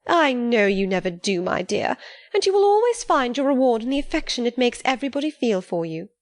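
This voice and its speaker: A woman reading an audiobook, voicing a fond, fussy middle-aged mother who rambles on with flattery. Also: a little high, fairly quick, dry recording, studio quality, voice pushed up. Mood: doting.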